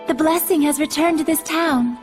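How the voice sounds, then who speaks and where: A woman's voice: high-pitched, normal speed, natural voice. An actress playing a serene, instructive female spirit with formal speech in a fantasy game. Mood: poised. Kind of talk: dubbed fantasy game acting. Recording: music behind the voice.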